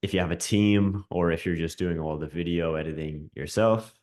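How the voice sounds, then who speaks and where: Upbeat low-voiced man hosting a call. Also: steady tone, a little mellow. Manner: upbeat, quick, says "man" a lot. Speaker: host and coach. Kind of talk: casual interview on a live call. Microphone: video call.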